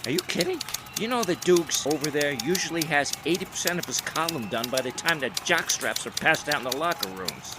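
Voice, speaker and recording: An actor playing a brash younger 1920s sportswriter with a sarcastic, needling manner. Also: male voice, on the high side, animated, a little fast, heavy background noise, lightly acted. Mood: mocking.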